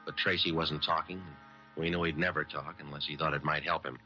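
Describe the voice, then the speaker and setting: A man's voice: extremely low pitch, little pitch movement, a little fast, pushed and bright, exaggerated voice. An actor playing a stoic, dogged American police detective narrating his case in terse, matter-of-fact radio-drama style. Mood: flat, determined. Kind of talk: 1940s-50s radio crime drama, clipped narration. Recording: dull vintage radio recording, music.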